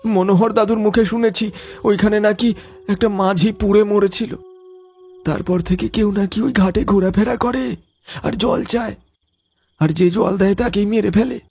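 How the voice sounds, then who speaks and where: A man voicing a frightened, superstitious young villager in a cartoon ghost story, husky and hesitant, earnest and nervous. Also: sits high, fairly even intonation, normal speed, darkish tone, faint music behind, heavily acted. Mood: earnest, ominous. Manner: hesitant, stammering in fear.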